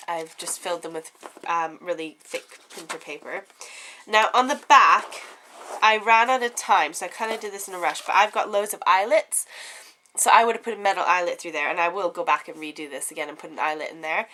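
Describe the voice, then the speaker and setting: A young woman talks through her craft projects with a blocked, stuffy nose from a head cold. Her voice is bright, and she chats casually, full of little laughs and filler ums. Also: medium pitch. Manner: chatty, giggly, lots of ums. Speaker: craft vlogger. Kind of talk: casual show-and-tell chat.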